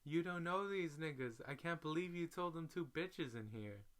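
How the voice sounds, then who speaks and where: A man puts on a menacing, scornful pimp in a comic story reading. The voice jumps high, forceful and scolding, full of disbelief. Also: expressive, moderate pace. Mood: furious. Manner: scolding, incredulous. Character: menacing, domineering male pimp, volatile and scornful.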